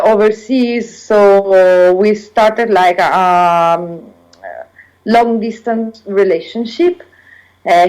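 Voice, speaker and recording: A woman with an Italian accent, telling her own story as an interview guest, pausing often to search for English words. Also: medium pitch, darkish tone, low-quality recording. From Italy. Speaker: guest sharing personal experience. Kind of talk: earnest personal account in interview.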